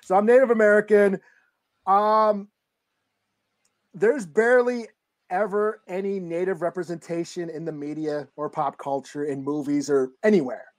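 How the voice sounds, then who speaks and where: A man co-hosts a podcast in a relaxed, halting way. He leans on fillers and restarts as he talks through an episode in easy conversation. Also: high voice. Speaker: podcast co-host. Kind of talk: casual chat, recapping a show.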